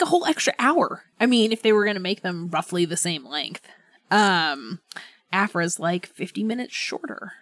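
A woman's voice: mid-range voice, fairly bright tone, no echo. A woman co-hosting a podcast, chatting informally and ramblingly, with lots of "like" and "you know". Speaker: podcast co-host. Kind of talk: casual book club chat.

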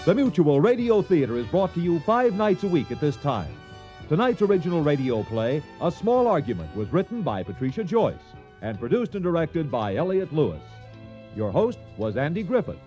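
A man's voice: on the high side, sing-song, moderate pace, dark tone, dull audio, faint music behind. A man announcing radio programme credits in a formal, scripted style.

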